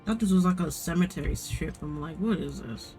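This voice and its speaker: A man's voice: fairly high pitch, brisk, darkish tone, faint music behind. A man commenting casually over gameplay as a streamer, in a chatty, rambling way. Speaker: gaming streamer.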